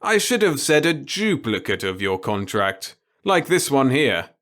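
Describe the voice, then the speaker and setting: Give the voice of a tyrannical old male boss from a sci-fi audiobook, acted out by a male narrator. He pitches his speech up and bears down on each phrase. He gloats and lectures with open glee, browbeating whoever has to listen. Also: very animated, measured pace, a bit bright. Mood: gloating.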